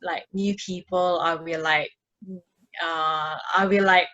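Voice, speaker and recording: A woman from Indonesia with an Indonesian accent, sharing personal stories as a podcast guest in a halting, casual way. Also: lowish voice, fairly even intonation, pushed and bright, muffled recording. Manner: halting, with frequent repeated words. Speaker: podcast guest, mindfulness practitioner.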